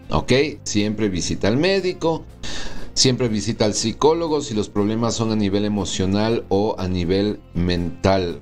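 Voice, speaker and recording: A man in his forties giving a weekly tarot horoscope, often checking in with the listener through short tag questions. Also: on the low side. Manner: warm reassuring, checks in with "¿sí?" and "¿ok?". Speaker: tarot reader. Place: small indoor room with plants and wood blinds.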